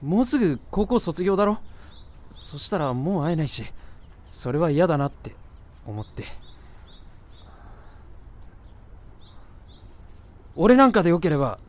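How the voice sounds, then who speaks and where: Man acting earnest student with lively intonation. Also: a little high, a little fast. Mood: nervous, shy. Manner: hesitant, earnest, blunt. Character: awkward, self-deprecating lovesick male university student. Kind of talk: Japanese voice drama acting with narration.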